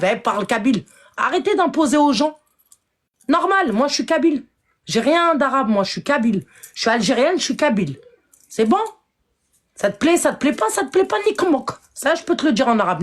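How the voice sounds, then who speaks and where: Fired-up woman ranting on a live stream. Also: medium pitch. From France. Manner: indignant, confrontational, swings between shouting and muttering; addresses viewers directly, scolds commenters.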